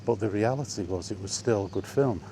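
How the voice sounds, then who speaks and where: A man's voice: fairly low pitch, brisk, dark tone, a little hiss or hum. A British man giving a casual, rambling, blunt and exasperated monologue as a film vlogger.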